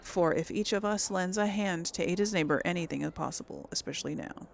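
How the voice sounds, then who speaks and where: A woman's voice: on the low side, fairly quick, gravelly, a little hiss or hum. A woman doing casual, chatty let's play commentary, thinking aloud and reading in-game text. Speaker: host of a role-play game series.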